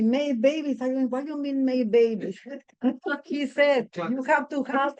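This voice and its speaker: A woman real estate agent on a panel, talking in a lively, animated way and laughing through her stories. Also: fairly high pitch, muffled recording. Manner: lively and animated, laughs while telling anecdotes. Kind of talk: panel discussion.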